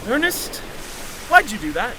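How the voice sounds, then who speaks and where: An actor playing an excitable, hot-tempered deckhand who is chatty and quick to take offence, in an audio drama. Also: male voice, very high-pitched, a little hiss or hum, heavily acted. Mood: indignant. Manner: chatty, indignant, exclamatory.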